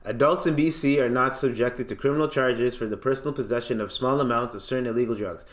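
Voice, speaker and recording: A man's voice: medium pitch, quick pace. A man hosting a podcast, reading news aloud theatrically and commenting in casual, playful slang. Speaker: podcast host. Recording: echoey, roomy sound.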